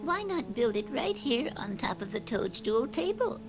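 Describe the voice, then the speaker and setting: A man's voice: very high-pitched, big pitch swings, normal speed, pitched above the performer's own voice, exaggerated voice. A man voicing a kindly, sensible mother rabbit on a children's storybook record, motherly and eager to help. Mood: helpful. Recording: vintage record with music behind.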